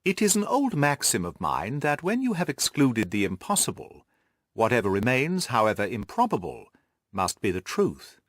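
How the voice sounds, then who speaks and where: A man reading the part of a shrewd, self-assured gentleman detective, precise and methodical, in an audiobook. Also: mid-range voice, huge pitch swings, normal speed, no room sound, studio quality. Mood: reasoning, confident.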